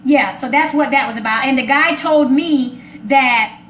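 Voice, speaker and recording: A woman's voice: high-pitched, unhurried, a bit bright. A woman hosting an informal live stream, talking in a sassy, confrontational, emphatic way to her followers. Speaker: live stream host taking callers. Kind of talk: informal opinionated monologue to followers. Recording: echoey room with faint background noise.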